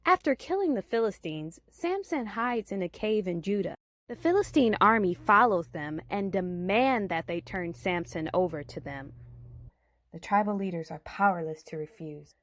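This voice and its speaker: A woman's voice: mid-range voice, animated, normal speed. A woman telling a Bible story in a theatrical, suspenseful narrating style.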